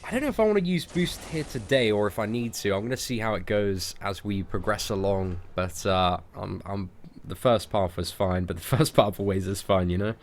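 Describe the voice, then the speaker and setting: A man's voice: fairly low pitch, brisk, a little hiss or hum. A British man hosting a gaming livestream, chatting casually with friendly banter and lots of filler words. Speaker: livestream host playing a mobile game. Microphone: desk microphone.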